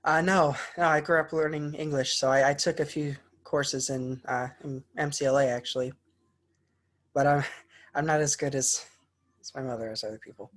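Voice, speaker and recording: A man's voice: fairly high pitch, steady tone, normal speed, fairly bright tone. A young American man, a college student, speaking informally and a little hesitantly as he shares in an online class. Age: young adult. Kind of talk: informal spoken class presentation. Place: online class video call.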